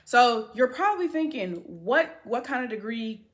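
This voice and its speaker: A woman's voice: on the high side, very animated, normal speed. Twenty-something girl chatting to her camera, upbeat, says "like" a lot. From United States. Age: 20s. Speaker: beauty vlogger. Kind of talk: casual chat to camera.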